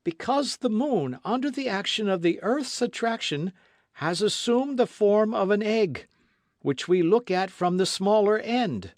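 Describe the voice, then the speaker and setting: A bold, playful adventurer, put on by a male audiobook reader speaks in a high, loud, sing-song voice. He sounds supremely confident, almost teasing. The sound is dry and close. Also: animated, a little slow, no room sound. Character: bold, witty adult Frenchman adventurer. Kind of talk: audiobook character reading.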